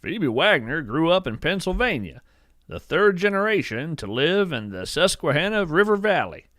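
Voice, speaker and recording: A man playing an earnest, awestruck naturalist, narrating in a poetic, measured storytelling style. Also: a little high, very animated, a bit bright, no echo, studio quality. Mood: earnest, admiring. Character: awestruck bearded male naturalist who studies strange creatures. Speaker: host and narrator of a fiction podcast. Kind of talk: scripted first-person narration, storytelling.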